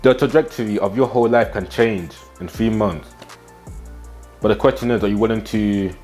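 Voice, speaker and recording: A nineteen-year-old man speaks in a fairly low voice, giving a motivational talk to camera. He is blunt and slangy and drives his points home by repeating them. He is recorded on a small clip-on mic in a small room at home, with faint music underneath. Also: moderate pace, a little mellow. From England. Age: teens. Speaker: fitness and self-improvement vlogger.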